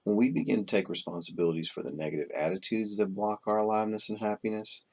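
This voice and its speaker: A man reading a self-help book aloud as scripted narration, in measured, deliberate phrases with pauses between them. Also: fairly low pitch, fairly even intonation, a little mellow. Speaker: audiobook reader.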